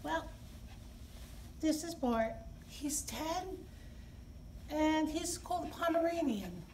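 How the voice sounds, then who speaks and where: A middle-aged woman speaks to young children as a story time host, with simple, expressive phrasing. Her voice is high and her pace unhurried. She is recorded indoors from a little way off, with noticeable background noise. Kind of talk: read-aloud and talk for young children. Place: indoor library children's room. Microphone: camera a few feet away. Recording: distant camera mic, clear background noise.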